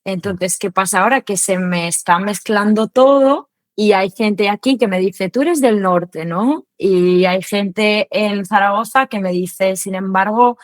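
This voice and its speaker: A young adult woman speaks a language she learned later in life, chatting loosely as an interview guest. She pauses often with filler sounds. Her voice sits mid-range, recorded close and dry. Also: mid-range voice, no echo. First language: not Spanish. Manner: chatty, hesitant, many fillers. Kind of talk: casual conversational interview.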